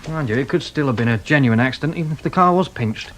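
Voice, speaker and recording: A grumbling, matter-of-fact British police sergeant played by an actor in a radio play. He has a mid-pitched voice with sardonic edges, over faint background ambience. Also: expressive, quick pace, fairly bright tone, a little hiss or hum, close to an everyday voice. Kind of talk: British radio drama acting.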